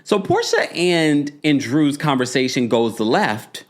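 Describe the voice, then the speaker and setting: A man hosting a casual live recap of a reality show, gossipy and animated, with quick asides to his chat. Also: a little high.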